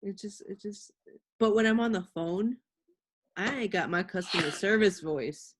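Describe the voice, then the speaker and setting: A woman's voice: mid-range voice, moderate pace. A woman in a casual podcast conversation, talking bluntly with slang and swearing. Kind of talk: casual group chat.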